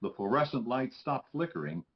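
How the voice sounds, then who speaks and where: A man's voice: medium pitch, big pitch swings, a little fast, highs cut off. A man reading scripted stage directions as narrator at a live table read.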